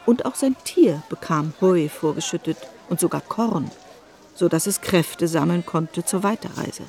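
A woman narrating a literary radio drama in a measured storytelling manner. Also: on the low side, lively intonation, darkish tone.

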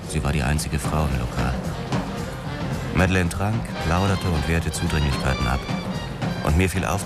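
An actor playing a brooding, haunted police officer who narrates a German noir radio drama in terse, measured phrases. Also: male voice, sits low. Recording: music under the voice. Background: music.

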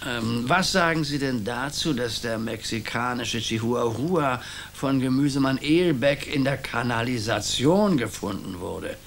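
An actor playing a worried small-town mayor in a radio play, hesitant and ponderous. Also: male voice, mid-range voice, lively intonation, a little slow, gravelly, hardly any pauses, a bit bright, a little hiss or hum. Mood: fretful.

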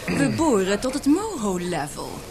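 An actress playing a haughty, sharp-tongued young woman in a radio play, speaking with cutting, formal politeness. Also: medium pitch, very animated, measured pace, music in the background. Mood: cool, challenging. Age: young adult. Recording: vintage radio play, crowd chatter behind.

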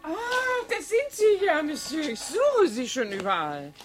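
A woman's voice: sits high, very animated, unhurried, over music. An actress in a German radio play, playing a chatty, cheeky cleaning woman who feigns naivety and grumbles in a pert, folksy way. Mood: nosy, mock-naive.

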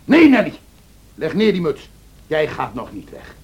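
An actor playing a brooding, vengeful, passionate lover in a Dutch radio drama, intense and theatrical, by turns scornful and pleading. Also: male voice, on the high side, wildly swooping pitch, unhurried, highs cut off, full character voice. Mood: commanding, pleading. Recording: noisy old radio play recording.

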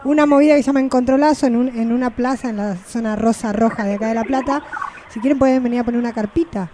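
A woman's voice: on the high side, fast, background noise. An Argentine woman hosting a radio show, interviewing in a casual, conversational way.

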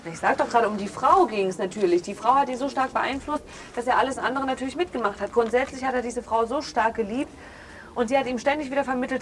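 A woman's voice: a little high, slight background noise, natural voice. A woman playing an aggrieved, defensive grown daughter in a naturalistic radio drama, arguing insistently with faltering restarts. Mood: insistent, bitter. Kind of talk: improvised naturalistic interview drama in conversational speech.

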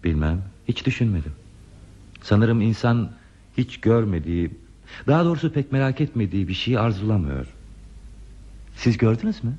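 An actor playing a lonely, gentle hermit in a radio play, speaking in a hesitant, pensive, polite way. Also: male voice, fairly low pitch, animated, moderate pace, pauses often. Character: lonely, gentle hermit man living in a remote place. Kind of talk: Turkish radio theater acting. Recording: dull, muffled radio drama recording.

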